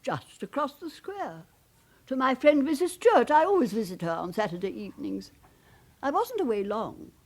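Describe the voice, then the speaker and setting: An elderly, well-bred widow in a radio drama. Her voice is high and moves expressively, polite but anxious, with hesitant, wistful phrasing. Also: very animated, moderate pace, full character voice. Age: older. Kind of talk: naturalistic British radio drama acting.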